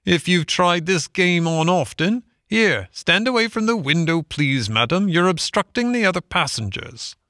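A man's voice: high-pitched, expressive, moderate pace, few pauses, pushed and bright, no echo, studio quality, voice pushed up, heavily acted. A man voicing a scornful, officious railway ticket clerk who is sarcastic and dismissive. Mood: mocking, dismissive.

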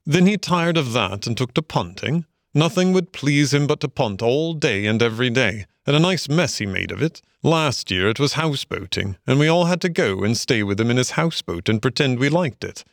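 A man voicing a friendly, easygoing water rat in an animal storybook, genial and gentlemanly in his talk. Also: mid-range voice, animated, normal speed, few pauses, no echo, clean studio sound, natural voice. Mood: wry, resigned. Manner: genial, conversational, old-fashioned English phrasing.

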